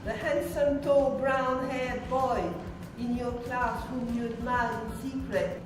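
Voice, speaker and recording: An older woman speaks in a hesitant interview manner at a medium pitch. The room is echoey and faint music sits behind her. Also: flat delivery, takes it slowly. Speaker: film course student.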